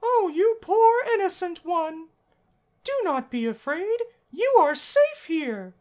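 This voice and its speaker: A woman voicing a gentle, motherly old goat monster lady in a video game, doting, formal and a little fussy. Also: very high-pitched, sing-song, takes it slowly, voice pushed up, exaggerated voice. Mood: soothing.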